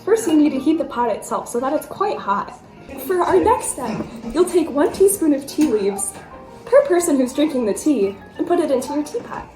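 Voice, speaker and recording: A young woman with a high, animated voice gives step-by-step tutorial instructions. Her recording is echoey, with faint music behind. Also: moderate pace. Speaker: tutorial presenter. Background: faint background music.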